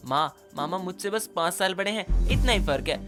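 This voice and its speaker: A woman's voice: on the low side, expressive, brisk, over music, close to an everyday voice. Woman acting a determined, cheeky young man. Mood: persuasive. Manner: earnest, persuasive. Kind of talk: Hindi cartoon story voice acting.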